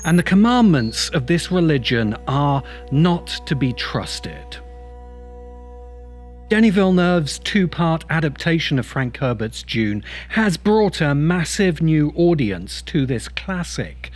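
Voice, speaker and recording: A man narrating a scripted video essay in a measured, emphatic way, as its host. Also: fairly high pitch, very animated. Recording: music behind the voice. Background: background music.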